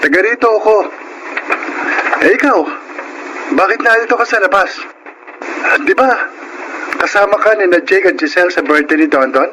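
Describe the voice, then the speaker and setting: A man's voice: high voice, normal speed, long pauses, background noise, lightly acted. An actor playing an earnest, protective young man in a Filipino radio drama. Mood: concerned.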